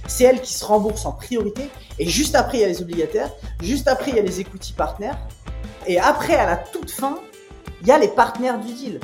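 Man, 30s, from Nice, mellow voice, animated explainer, remote call, faint music. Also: high-pitched, brisk. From France (Nice). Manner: animated, explanatory, many fillers. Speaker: podcast guest, investment firm co-founder. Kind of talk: casual interview, explaining.

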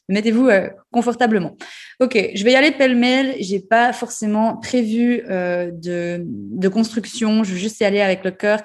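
A young woman with a Jura Swiss French accent, hosting a podcast and candidly sharing her story in a conversational way, with frequent fillers and restarts. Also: on the high side. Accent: Swiss French, Jura (Ajoie). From Switzerland, Jura. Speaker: podcast host, nutrition therapist.

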